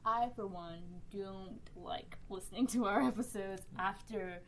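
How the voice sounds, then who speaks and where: A woman's voice: medium pitch, a little slow. A woman hosting a podcast round table, informal and easygoing as she moderates the conversation. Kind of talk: casual chat, moderating questions. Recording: faint room noise behind voice.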